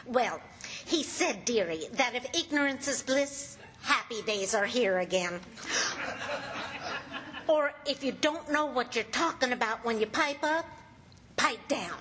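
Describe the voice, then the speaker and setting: Lady doing a teasing patient housewife, old radio comedy, high voice, slightly noisy recording. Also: animated, unhurried, fairly bright tone. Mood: wry, peacemaking.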